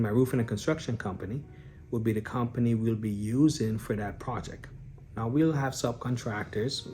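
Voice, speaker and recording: A man's voice: on the low side, a little mellow. A man from Guyana talking casually to his viewers about the businesses he runs, unscripted, with frequent fillers and restarts. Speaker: real estate investor and business owner. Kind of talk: casual explanatory vlog talk.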